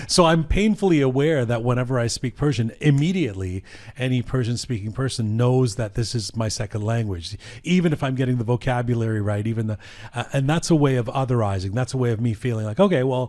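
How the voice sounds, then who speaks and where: A man hosting a panel podcast, reflective and halting, often restarting his sentences as he thinks aloud. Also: medium pitch, darkish tone. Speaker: podcast host. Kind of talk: panel discussion.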